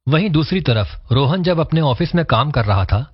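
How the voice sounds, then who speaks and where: A man narrating a cartoon story in a steady, scripted storytelling manner. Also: a little high, moderate pace. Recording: dull lo-fi voice-over.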